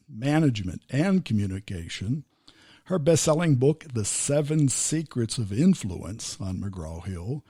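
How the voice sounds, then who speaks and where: A man's voice: mid-range voice, darkish tone, breathy edge, dry recording. An older American man hosting a business interview podcast from his studio, reading a formal guest introduction and chatting easily. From United States. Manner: formal scripted introduction, then easy conversational interjections. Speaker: podcast host.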